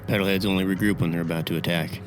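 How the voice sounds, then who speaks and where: A man playing a blunt, gruff, menacing military chief in a tabletop roleplay. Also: low-pitched, fairly even intonation, racing, a little hiss or hum, lightly acted. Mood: dutiful. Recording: music bed under the voice.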